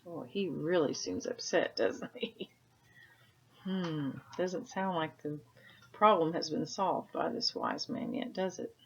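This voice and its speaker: A woman reading a children's story aloud like a teacher, adding casual comments and gentle questions for the listener. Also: low voice, animated, slow, creaky, slightly rough. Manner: asks gentle tag questions. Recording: dull, lo-fi sound.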